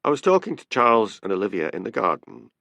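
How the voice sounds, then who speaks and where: A man's voice: fairly low pitch, big pitch swings, fast, vocal fry, bright, forceful tone, dry recording, exaggerated voice. A man voicing a breezy, defiant young policewoman with a cheerful, offhand manner, in an audiobook story. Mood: gossipy.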